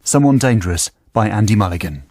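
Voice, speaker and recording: A man's voice: lowish voice, expressive, fairly quick, very noisy. A man reading a scripted programme introduction as a radio continuity announcer, close on a studio mic. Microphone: close dry studio mic.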